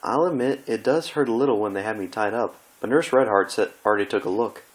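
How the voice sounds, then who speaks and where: A man's voice: fairly low pitch, fast talker, natural voice, rough recording. A man voicing a studious young female pony in a cartoon fan story, patient and matter-of-fact with a touch of annoyance. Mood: reassuring, slightly annoyed.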